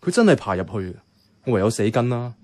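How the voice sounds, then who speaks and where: A man's voice: medium pitch, big pitch swings, brisk, dark tone. An actor playing a bemused, reluctant young man who narrates and talks in a radio drama, wry and questioning, with a Hong Kong Cantonese accent. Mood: uneasy. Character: bemused, reluctant young male companion narrating a night walk.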